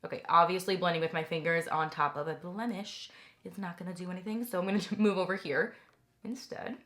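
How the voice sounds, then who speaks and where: A young woman talking through a makeup product review in a chatty, enthusiastic way, her voice slightly raspy from a recent cold. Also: on the low side, steady tone, brisk, fairly bright tone. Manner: chatty, enthusiastic, many "like" fillers. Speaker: beauty vlogger.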